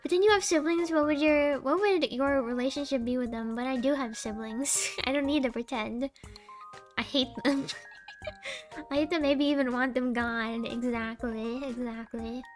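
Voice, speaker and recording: A young woman with a small, sweet voice, chatting casually on a live stream, rambling and giggling between deadpan jokes. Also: sits high, normal speed, soft background music.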